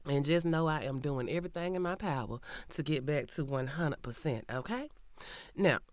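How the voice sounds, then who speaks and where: A woman's voice: sits low, moderate pace, darkish tone, no echo. Sassy Southern woman with a cold. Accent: Southern American. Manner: sassy, chatty, full of Southern slang. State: sick with a cold, slightly nasal, coughing. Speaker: podcast host. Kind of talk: casual true crime podcast intro. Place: recording booth.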